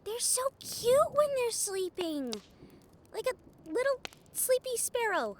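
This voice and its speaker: Very high, bubbly woman acting a harpy girl. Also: slow pace, slight background noise, exaggerated voice. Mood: doting. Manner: gushing, excitable, soothing. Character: cheerful, caring young female harpy, bubbly and soft-hearted. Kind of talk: audio roleplay acting.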